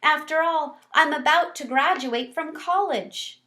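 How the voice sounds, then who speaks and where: Woman reading as a sincere, hardworking storybook janitor, raised pitch. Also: unhurried, sharp tone. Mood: exasperated. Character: hardworking warm male night janitor, studying to teach.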